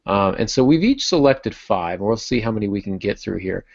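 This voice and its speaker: A man hosting a podcast in casual discussion, thinking aloud in a halting way. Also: fairly low pitch, lively intonation, creaky, no echo, highs cut off. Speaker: podcast host.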